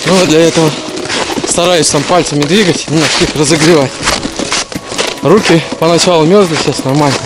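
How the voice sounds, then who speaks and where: A man's voice: fairly high pitch, brisk, very noisy. A man in his forties narrating a casual hiking vlog as he walks through a snowy pine forest, filmed on an action camera he holds at arm's length. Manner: chatty, breathless while trudging through snow. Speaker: vlogger. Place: snowy pine forest outdoors in deep frost. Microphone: action camera held at arm's length.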